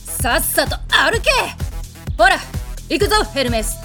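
A woman playing a haughty, short-tempered goddess in a comedy audio drama, brusque and imperious in rough phrasing. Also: high voice, lively intonation, a little slow, pushed and bright, faint music behind, exaggerated voice. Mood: furious, bossy.

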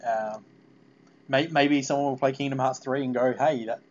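A man hosting a casual interview about video games, talking in an informal, halting, rambling way. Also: medium pitch, steady tone. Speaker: podcast interviewer. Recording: muffled, noisy lo-fi call audio.

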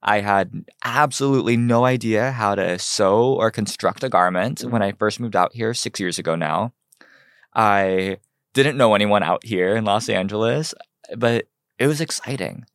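A young man from Ohio, a fashion designer telling his story in an earnest, measured way on a podcast. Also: on the low side, a bit bright, no room sound, studio quality. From United States (Ohio). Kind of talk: podcast interview.

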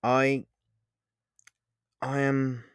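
A man's voice: mid-range voice, completely flat delivery, clean tone, dry recording. A man performs an intense, charming male lover from a romance story. He chooses formal words and halts to repeat them with feeling. The sound is dry, with no room on it. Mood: earnest, pleading. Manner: halting, repeats phrases, formal wording. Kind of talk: dramatised romance audiobook dialogue.

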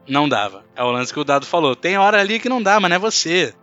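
A man's voice: a little high, expressive, racing, sharp tone. A Brazilian man hosting a casual podcast, telling stories in a colloquial, emphatic way. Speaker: podcast host. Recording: muffled mic, faint music bed.

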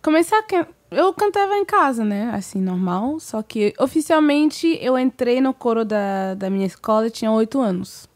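A woman in her thirties from Montreal, a singer being interviewed, speaking in a soft, measured way. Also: fairly high pitch, expressive. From Montreal, Canada. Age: 30s. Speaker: guest singer. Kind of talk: interview conversation.

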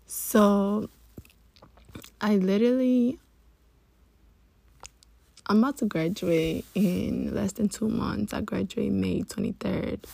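A young woman in her early twenties hosting a casual solo podcast, chatty and rambling with many restarts, her voice sitting lower than usual after being up for a long time. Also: creaky, mellow, breathy edge, no room sound. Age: early 20s. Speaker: podcast host.

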